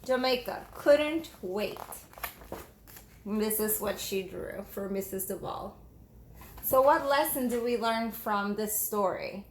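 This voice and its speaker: A woman teacher reading a storybook aloud to children in an expressive, instructive manner. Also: medium pitch, slow pace, a little hiss or hum. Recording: echoey room.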